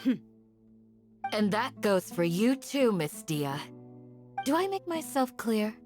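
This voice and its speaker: A woman playing a gentle but firm village guardian in a video game, courteous, composed and formal. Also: fairly high pitch, big pitch swings, slow pace. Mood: quietly stern. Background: music.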